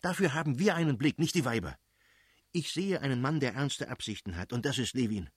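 A man's voice: mid-range voice, lively intonation, fairly quick, husky, no room sound, clean studio sound, voice pushed up. A man voicing an irascible aristocratic father in an audiobook, blustering and mocking as he scolds his wife. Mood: scolding. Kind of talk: dramatised audiobook reading.

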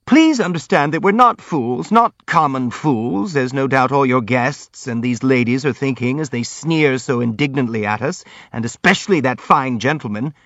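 Audiobook role: excitable, sneering youth, played by a man, squeaky and high, quick to take offence. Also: dry recording, lo-fi sound. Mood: indignant. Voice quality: squeaky, shrill. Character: petulant, sneering, excitable young man named Hippolyte.

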